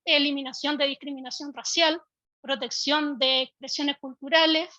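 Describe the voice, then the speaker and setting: Woman, high pitch, bright tone, measured and explanatory, dry, dull audio. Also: dry recording. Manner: measured, explanatory, occasional restarts. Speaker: speaker from a public early-education institution. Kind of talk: online panel talk.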